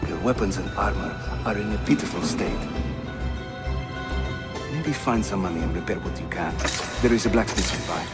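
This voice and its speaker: A man's voice: deep voice, moderate pace, pauses often, over loud music, lightly acted. An actor playing a jovial, roguish Ottoman assassin guide in a video game, with a Turkish accent and a theatrical, teasing manner. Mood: teasing, brisk. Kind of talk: video game cutscene acting.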